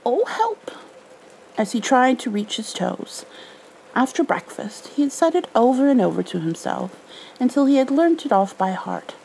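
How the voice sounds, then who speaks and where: A woman softly reading a storybook and talking tenderly in a soothing, motherly ASMR roleplay. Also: a little high, expressive, normal speed, creaky, rough recording. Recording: faint background noise, rain ambience.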